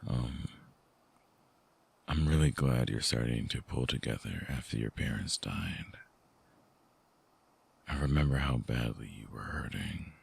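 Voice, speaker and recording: A man playing a brooding, tender, wounded vampire ex-lover in an intimate ASMR audio drama, husky and breathy, with a halting, hushed delivery close to the mic. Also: rumbling low, exaggerated voice. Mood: tender, regretful. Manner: slow, halting, sighing, hushed confiding. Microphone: close binaural mic, dry sound.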